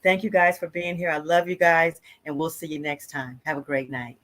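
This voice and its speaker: A woman's voice: low-pitched, steady tone, normal speed, a bit bright, a little hiss or hum. An older woman hosting a live online talk show on a video call, upbeat as she thanks her guest and viewers. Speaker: host.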